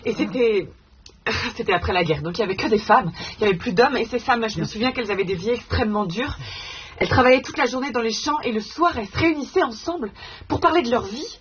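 A woman's voice: on the high side, fast talker, dull audio, a little hiss or hum, natural voice. An actress playing an earnest woman writer in a naturalistic stage drama, speaking in a hesitant, searching way and breaking off sentences. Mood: earnest, moved. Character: earnest woman writer haunted by village women's stories. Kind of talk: naturalistic stage acting, conversational.

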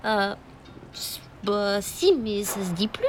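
A woman's voice: mid-range voice, animated, slow pace, a little hiss or hum. An actress playing a curious, frank young girl in an audio drama. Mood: questioning.

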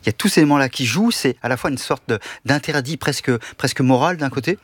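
Fast-talking male guest expert. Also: medium pitch, breathy edge, no room sound. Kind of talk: radio panel discussion.

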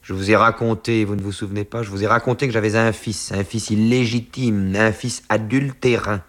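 A lowish-voiced, self-important gentleman played by a man reading a novel, formal and grandiloquent. He weighs his words deliberately, like a father making pronouncements in a storybook. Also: steady tone, lightly acted. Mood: insistent, reminiscing.

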